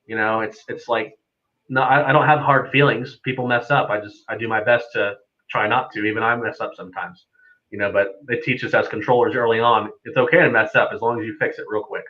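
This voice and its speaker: A man's voice: on the low side, fairly even intonation, fairly quick, dull audio. A man, an air traffic controller guesting on a live stream, explaining things casually in an easygoing, conversational way. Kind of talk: casual unscripted explanation with anecdotes.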